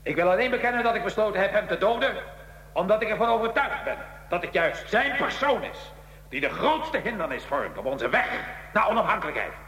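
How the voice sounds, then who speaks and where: A man's voice: high-pitched, sing-song, brisk, sharp tone, full character voice. A man playing a defiant revolutionary in a radio drama, declaiming a confession with passion. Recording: echoey reverberant hall, faint hum.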